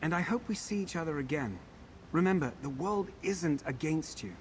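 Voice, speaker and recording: An actor playing a fussy, pampered defector in a video game, wry and chatty with a faintly aggrieved manner. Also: male voice, fairly high pitch, animated, normal speed, slight background noise, close to an everyday voice, poor audio. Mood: subdued, grateful. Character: fussy pampered defector from a wizard house, put-upon. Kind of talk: video game character dialogue.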